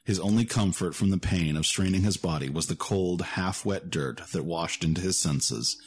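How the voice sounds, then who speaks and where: A man's voice: low voice, moderate pace, husky. A man narrating a scripted fantasy story as the narrator of an audio drama. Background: music.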